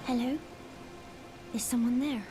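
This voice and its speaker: A gentle, frail young maiden, played by a woman in a fantasy video game. Her voice is high and breathy, with a soft, courteous, pleading manner. Music is clearly heard behind her. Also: steady tone, a little slow, close to an everyday voice. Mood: polite, hopeful. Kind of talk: scripted fantasy game dialogue.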